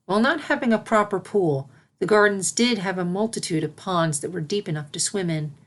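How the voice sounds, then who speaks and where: A woman's voice: medium pitch, a little fast. A woman reading fan fiction aloud as scripted storybook narration.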